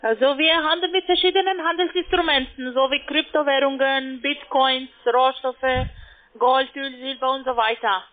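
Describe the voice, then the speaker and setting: High-pitched female telemarketer on the phone. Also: steady tone, normal speed. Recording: tinny narrow phone line.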